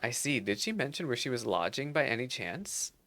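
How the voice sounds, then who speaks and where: A man's voice: mid-range voice, sing-song, brisk, gravelly, bright, forceful tone, no echo, faint music behind, full character voice. A man voicing an earnest, wistful musician searching for a friend in a fantasy game, polite and reflective. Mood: wistful, curious.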